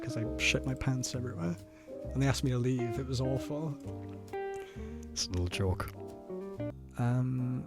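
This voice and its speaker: A man in his thirties from South Wales with a South Welsh accent, casually commenting on his own footage, nervous and self-deprecating, laughing often and swearing freely. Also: mid-range voice. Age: 30s.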